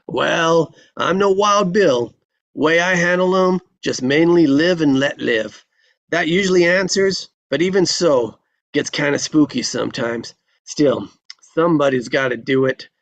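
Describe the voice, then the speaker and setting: A man's voice: a little high, measured pace, dry recording, highs cut off. A man voicing a jovial old frontier marshal with folksy western talk, in a screenplay table read. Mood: resigned, folksy.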